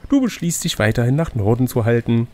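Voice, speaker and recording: A man's voice: mid-range voice, expressive, brisk, darkish tone. A man narrating an adventure gamebook as game master and chatting casually with his co-player. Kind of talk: reading a gamebook aloud, with casual banter.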